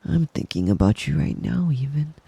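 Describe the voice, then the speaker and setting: A man playing a possessive, doting yandere wolf boy in an intimate ASMR roleplay, slow and soft, cooing praise and often dropping into a whisper at a close mic. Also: mid-range voice, sing-song, creaky, husky, full character voice. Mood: tender, besotted.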